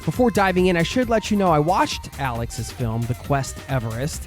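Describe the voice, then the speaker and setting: A man's voice: fairly high pitch, sing-song, moderate pace, over music. A man hosting a travel podcast, reading a friendly intro and then chatting casually with his guest. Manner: upbeat, friendly, joking with guest. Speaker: podcast host.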